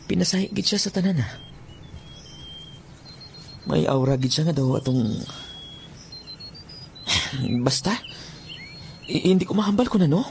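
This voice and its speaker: Animated, eager young bird catcher. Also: male voice, sits high, normal speed, vocal fry, pauses often, a little hiss or hum. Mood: happy, proud. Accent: Filipino, Western Visayan. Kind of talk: Philippine radio drama acting.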